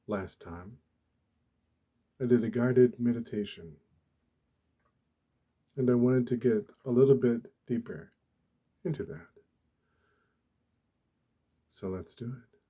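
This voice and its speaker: A man speaking softly and deliberately, with long pauses, guiding a meditation in a gentle ASMR style. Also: mid-range voice, breathy edge. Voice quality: soft, hushed. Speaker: ASMR host, meditation guide. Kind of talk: soft-spoken ASMR guided meditation.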